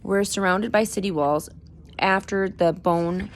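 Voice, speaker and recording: A woman reading a book aloud in a deliberate storytelling manner, with an occasional stumble over the words. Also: mid-range voice, a little hiss or hum. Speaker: reader. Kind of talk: book read-aloud narration.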